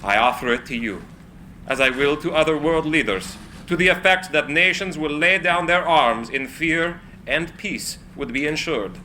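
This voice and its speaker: An actor playing an eccentric, idealistic inventor in a live screenplay reading, theatrical and earnest. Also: male voice, a little high, normal speed, bright, forceful tone, slight background noise, poor audio. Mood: grand, solemn.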